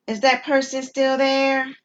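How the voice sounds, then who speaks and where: A woman's voice: sits high, monotone, unhurried, dull audio, voice pushed up. A woman acting a composed, formal emergency dispatcher in an audio drama. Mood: formal, procedural. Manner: formal, procedural.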